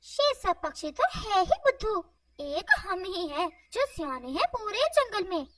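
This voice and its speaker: A woman's voice: extremely high pitch, very animated, measured pace, fairly bright tone, no room sound, clean studio sound, heavily acted. A woman voicing a crafty, bossy female crow wife in a children's cartoon, with a smug, instructing manner. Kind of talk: animated children's moral story dialogue.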